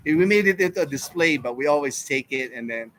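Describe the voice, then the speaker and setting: A man's voice: mid-range voice, animated, fairly quick, a bit bright, a little hiss or hum. A man in his fifties, from the United States, hosting a casual live Q&A stream and rambling through answers to chat questions. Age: 50s. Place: home in the Philippines.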